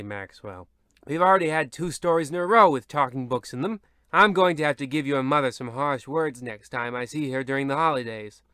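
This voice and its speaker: An actor playing a pompous, self-satisfied, theatrical host of an old-time radio horror anthology. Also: male voice, medium pitch, a bit bright, smooth, dry recording, studio quality, natural voice.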